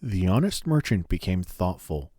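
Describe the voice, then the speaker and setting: A man narrating a fairy tale as a storyteller, measured and solemn. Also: low voice, sing-song, warm tone, dry recording, clean studio sound.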